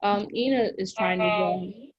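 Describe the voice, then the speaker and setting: A woman's voice: medium pitch, a little slow, muffled recording. A woman reading stage directions and scripted narration for a table read held on a video call. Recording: noisy online call audio.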